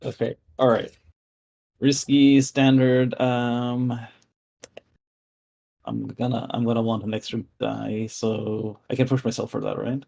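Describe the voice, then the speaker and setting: A man with an average-pitched voice plays a tabletop roleplaying game. He talks casually, hesitating as he works out his choices aloud. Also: level tone, takes it slowly, creaky, long pauses, darkish tone.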